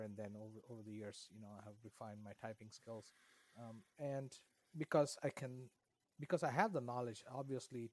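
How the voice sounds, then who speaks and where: Middle-aged guy from india, kannada first language, lowish voice, rambles with lots of uhs and restarts. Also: a little mellow. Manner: rambling, with frequent fillers and restarts. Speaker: author guest. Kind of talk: casual interview answers.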